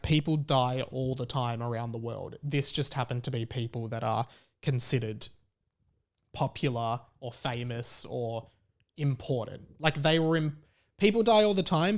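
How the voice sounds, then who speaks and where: A man giving a casual opinion monologue like a podcast host, conversational and halting, with emphatic stresses on key words. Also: mid-range voice.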